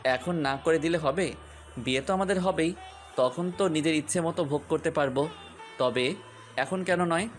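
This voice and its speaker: A man's voice: on the high side, moderate pace, long pauses, soft background music, close to an everyday voice. A man playing a possessive, jealous young fiancé in a romantic audio story, with a taunting, commanding, accusatory manner. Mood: menacing.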